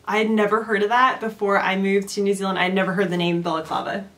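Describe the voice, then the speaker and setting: A woman's voice: medium pitch, flat delivery, fast talker, fairly bright tone. A woman in her thirties from Southern California with a Californian accent, hosting a casual chat and asking curious, giggly questions. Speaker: host.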